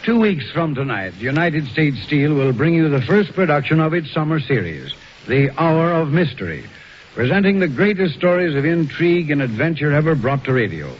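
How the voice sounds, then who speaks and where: Formal old-time radio announcer, muffled sound. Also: male voice, a little high, sing-song, moderate pace. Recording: dull, noisy old radio broadcast recording.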